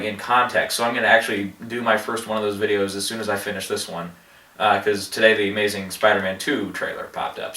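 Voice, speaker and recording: A man with a head cold rambling through movie commentary, halting with many fillers and restarts. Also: on the low side, bright, forceful tone, far from the mic. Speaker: movie box office vlogger. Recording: echoey room, voice off-mic.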